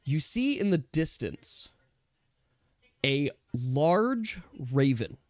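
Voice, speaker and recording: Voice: medium pitch, very animated, very slow, dry recording. A game master with a mid-range voice and a dry, close recording. The delivery is casual and conversational, narrating a tabletop role-playing scene to the players.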